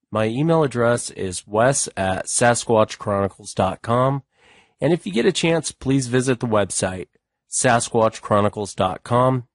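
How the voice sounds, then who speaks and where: A hoarse man hosting a talk show, with an easygoing manner and a habit of thanking guests, recorded dry on a studio mic. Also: mid-range voice, no room sound. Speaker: podcast host.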